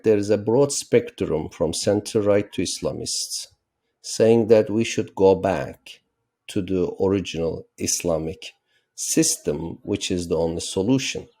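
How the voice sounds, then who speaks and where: A middle-aged man from Turkey speaks as an interview guest. His voice sits low and warm, and he explains in a measured, lecturing way, pressing hard on key words. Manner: measured, lecturing, with rising emphatic stresses. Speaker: political science professor, guest.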